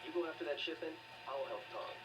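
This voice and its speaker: A man's voice: on the high side, fast talker, lightly acted. An actor playing a thoughtful, plain-spoken male scientist ally in a video game. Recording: noisy distant game capture.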